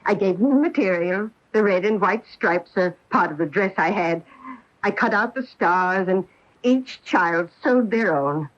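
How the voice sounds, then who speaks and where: An actress playing an elderly former schoolteacher in a radio mystery drama, brisk, nostalgic and sure of her memory. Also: mid-range voice, close to an everyday voice. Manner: brisk, expressive, reminiscing. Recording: dull lo-fi radio broadcast recording.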